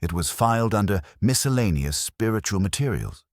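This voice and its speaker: A man's voice: lowish voice, lively intonation, a little fast, no room sound, clean studio sound, pitched above the performer's own voice, lightly acted. A man voicing a dutiful, matter-of-fact police sergeant in an audio drama, reporting plainly. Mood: earnest.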